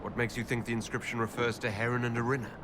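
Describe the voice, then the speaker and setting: An actor playing a male elven Inquisitor in a video game, a considerate leader with a measured, questioning way of talking. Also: mid-range voice, little pitch movement, noisy background, close to an everyday voice. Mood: inquisitive.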